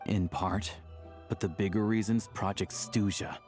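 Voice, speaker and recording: A man's voice: fairly low pitch, steady tone, measured pace, darkish tone, close to an everyday voice. A man playing a laid-back, world-weary young townsman in a video game, speaking in a dry, matter-of-fact way. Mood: wry, explaining. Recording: game music behind.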